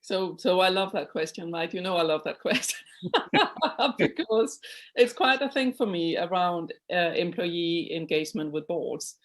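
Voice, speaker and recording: A woman's voice: lowish voice, lively intonation, unhurried. A middle-aged woman from Denmark, a board chair answering webinar questions over a video call in an earnest, emphatic way with frequent restarts. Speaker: guest speaker, board chair.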